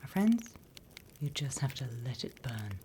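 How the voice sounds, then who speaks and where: A British woman playing a sly demon instructor in a whispered ASMR roleplay, unhurried, wry and smugly scornful of humans. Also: extremely low pitch, very animated, noisy background, exaggerated voice. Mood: calm, instructive. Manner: unhurried, hushed, teacherly, wry.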